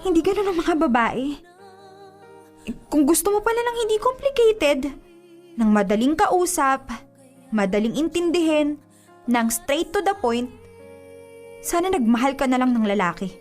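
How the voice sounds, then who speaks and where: Radio drama girlfriend: young, high voice, fed up, emphatic and accusing. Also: very animated, normal speed, pauses often, a little mellow. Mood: frustrated, arguing. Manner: reproachful, emphatic. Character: hurt, fed-up young woman quarreling with her boyfriend. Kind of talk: radio drama acting in Tagalog. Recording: music behind the dialogue. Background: music.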